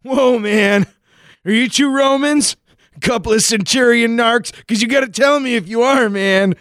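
A man's voice: very high voice, unhurried, a bit bright, no echo, studio quality, pitched above the performer's own voice. A man playing a paranoid, defensive slacker traveler with a stoner drawl in a comic radio play. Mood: wary, rambling. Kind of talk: comic 1940s-style radio play acting.

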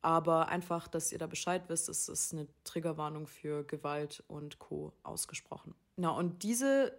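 A woman hosting a talk, calmly explaining background information to listeners. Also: sits low, moderate pace. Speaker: podcast host.

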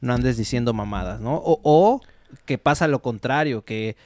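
A man's voice: mid-range voice, lively intonation, moderate pace, darkish tone, dry recording. Thoughtful Mexican man, dry close mic. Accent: Mexican Spanish. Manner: thoughtful, explains with many fillers and restarts. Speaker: podcast co-host. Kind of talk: casual chat.

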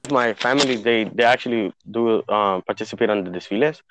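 A man's voice: on the low side, brisk, noisy background. Rambling young man from Honduras. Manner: rambling, many fillers, mixes in Spanish words. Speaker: podcast co-host. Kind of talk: casual chat.

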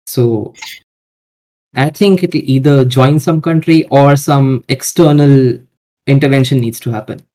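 A man's voice: mid-range voice, a little slow, dark tone, low-quality recording. A man speaking as a podcast guest, giving hesitant, hedging answers in casual talk.

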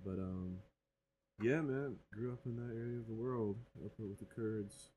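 A young man co-hosting a casual podcast, talking in a halting way with many ums and restarts. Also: fairly low pitch, warm tone, a little hiss or hum. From grew up in northern Iraq. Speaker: podcast co-host.

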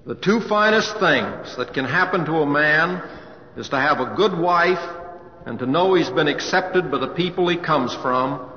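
An actor playing a humble, affectionate middle-aged American cowboy humorist with homespun, hesitant phrasing in an old radio drama. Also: male voice, fairly high pitch, expressive, a little slow, slightly rough. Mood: humble, moved. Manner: homespun, drawling folksy phrasing with gentle hesitations. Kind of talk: 1950s radio drama acting. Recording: muffled old radio recording, hiss, long reverb.

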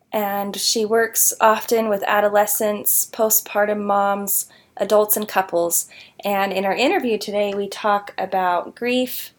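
A woman hosting a podcast, introducing the episode and her guest with enthusiasm. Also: mid-range voice. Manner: warm, enthusiastic delivery. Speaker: podcast host. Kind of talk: scripted show introduction.

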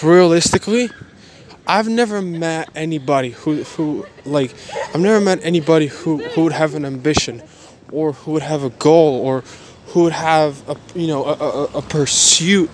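A man of twenty-one giving a motivational personal monologue in a halting, repetitive, emphatic way. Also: a little high, slight background noise.

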